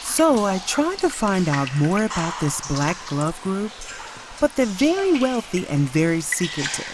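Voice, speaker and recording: A woman's voice: on the low side, very animated, background noise. A woman playing a curious, glamorous young lover of the hero in a comic book drama. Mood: impressed, curious. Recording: loud rushing water ambience.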